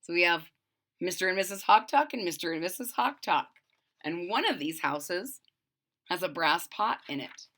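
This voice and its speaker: A woman storyteller reading a children's picture book aloud expressively, pausing to recap it in simple words. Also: lowish voice, expressive, fairly bright tone.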